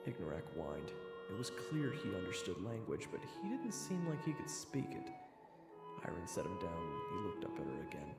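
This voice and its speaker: Audio drama lead for a man: wry first-person narrator, gravelly, reverberant, conversational and expressive, big music bed. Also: low-pitched, normal speed. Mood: curious, amused. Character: sardonic young man narrating his fantasy adventure. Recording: echoey reverb, loud game music underneath.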